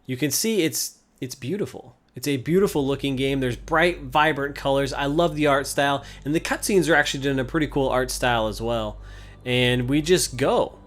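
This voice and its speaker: A man's voice: medium pitch, moderate pace, fairly bright tone. A man giving casual, conversational gameplay commentary as the host of a video game series. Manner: informal, conversational. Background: game music.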